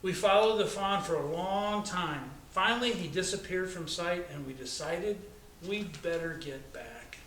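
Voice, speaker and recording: An American man, a pastor, reading a children's story aloud in an expressive storytelling way. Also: sits high, measured pace. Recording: echoey, distant, noisy room recording.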